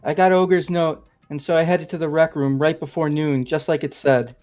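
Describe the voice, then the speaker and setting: A man's voice: fairly high pitch, steady tone, moderate pace, a little mellow, soft background music, lightly acted. A man voicing a nervous young man who rambles defensively through a confession, as fan voice acting of a game. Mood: anxious.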